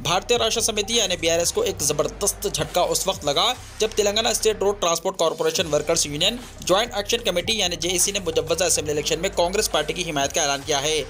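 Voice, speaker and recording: A man's voice: sits high, fast talker, hardly any pauses, fairly bright tone, soft background music. A man reads a scripted news bulletin in a formal, declarative way. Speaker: news reader.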